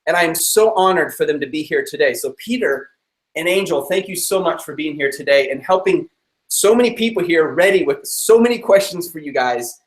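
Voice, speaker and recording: A man's voice: on the high side, expressive, a little fast. Eager man hosting in a roomy office. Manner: eager, chatty, laughs easily. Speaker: host. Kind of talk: upbeat live-stream interview. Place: his own office room.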